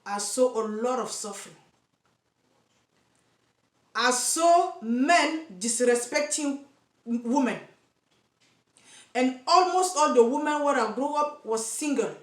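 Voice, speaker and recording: A woman's voice: high-pitched, lively intonation, slow pace, pauses often. A woman from Cameroon with a Cameroonian accent, speaking straight to camera as an activist for single mothers, earnest and insistent, stumbling and repeating words as she searches for English. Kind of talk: direct-to-camera vlog. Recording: echoey room.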